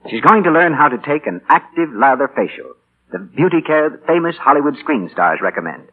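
A man's voice: a little high, sing-song, a little fast, slightly rough. A man announcing in scripted 1940s radio style, as a sponsor's spokesman. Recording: muffled, tinny 1940 radio broadcast.

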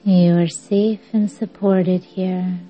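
A woman guiding a yoga nidra meditation in a measured, soothing, instructing way with long pauses. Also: on the low side, level tone, mellow. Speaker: meditation podcast host and guide. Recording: dull lo-fi audio under music. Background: music.

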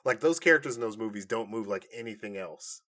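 A man's voice: on the low side, lively intonation, fast, a crowd in the background. Casual man chatting as a podcast co-host. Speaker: co-host of a nerd news podcast. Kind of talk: casual chat.

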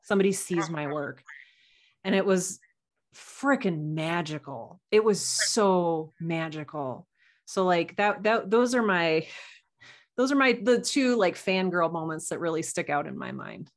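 A woman guest on a podcast, telling personal anecdotes in a casual, animated and halting way over a video call. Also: lowish voice.

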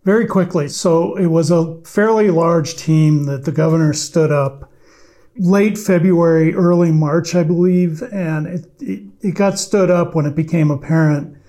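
A man, a podcast guest, speaking with a raspy voice from allergies and explaining things in a measured way with some fillers. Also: fairly high pitch, warm tone. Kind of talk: conversational interview answers.

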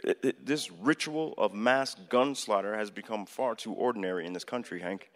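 An actor in a radio play voicing an earnest, middle-aged American president who speaks deliberately, with hesitations and a lecturing manner. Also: male voice, fairly low pitch, natural voice. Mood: grave, firm. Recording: long hall reverb.

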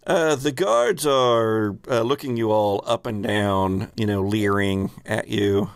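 A man hosting a tabletop roleplaying game, narrating off the cuff in a halting, thoughtful way. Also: fairly low pitch, clean tone, no echo. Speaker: game master, host.

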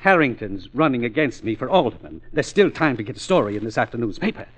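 An actor playing a petty, scheming, henpecked store executive in 1940s radio style, on a vintage recording. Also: male voice, mid-range voice, big pitch swings, fast. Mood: indignant.

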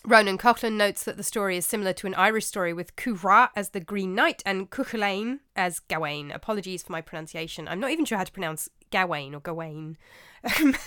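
A woman's voice: lowish voice, a bit bright, clear, resonant tone, dry recording, clean studio sound. A woman with an English accent hosting a storytelling podcast, giving informal commentary on literature in a chatty, slightly hesitant way with wry asides. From England.

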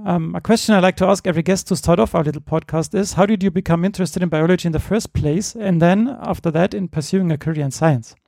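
Fast, high-voiced male podcast host. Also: gravelly, warm tone, no echo, clean studio sound. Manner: formal, reads a guest bio. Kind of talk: interview introduction.

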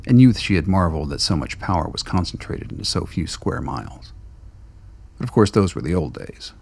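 A man's voice: low voice, very animated, fairly quick, creaky, darkish tone, slightly rough. A man reading scripted narration for a radio drama as its narrator.

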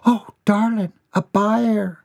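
A man putting on a fussy Southern farm wife, voice pushed well up into a high shrill register, fretting and scolding in quick bursts, storybook reading. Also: fairly even intonation, gravelly, a little mellow, heavily acted.

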